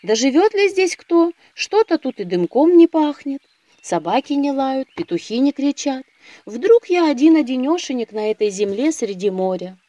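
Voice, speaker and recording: A woman's voice: high voice, very animated, moderate pace, dark tone, no room sound, lo-fi sound. A woman voicing a puzzled, wary young peasant castaway in a storybook tale, with a wondering, questioning manner. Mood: anxious wondering.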